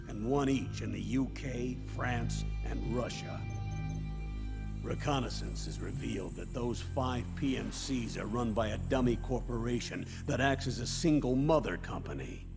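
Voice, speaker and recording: An older military commander in a game drama, solemn and authoritative. His mid-range voice is gravelly and measured, with loud music playing behind it. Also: medium pitch. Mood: grave, informing. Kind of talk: dramatic video game briefing.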